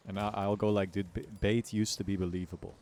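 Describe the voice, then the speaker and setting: A man's voice: on the low side, flat delivery, fast, darkish tone. An American man chatting casually on a game livestream, joking in slang and complaining in mock exaggeration. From United States. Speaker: game streamer. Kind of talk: casual livestream chat while gaming. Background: music behind the voice.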